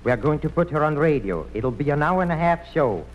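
A man's voice: fairly high pitch, animated, fast, lightly acted. A man playing a scheming, sardonic talent judge in old-time radio comedy. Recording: noisy, dull old radio recording.

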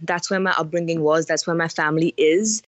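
A woman's voice: sits low, fairly even intonation, fairly quick, no room sound. A young woman from India, a singer-songwriter, chatting frankly and enthusiastically as an interview guest over a muffled line. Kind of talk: casual interview chat.

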